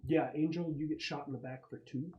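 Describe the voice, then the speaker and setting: A man's voice: on the high side, fairly even intonation, fairly quick, lo-fi sound. A man acting as game master, narrating a tabletop game in a casual, conversational way. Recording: noisy room with clicks.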